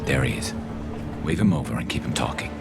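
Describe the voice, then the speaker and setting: An actor playing a grim male ex-cop sniper out for revenge, speaking low and terse. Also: expressive, a little fast, vocal fry, loud music behind the voice, heavily acted. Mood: tense, quiet.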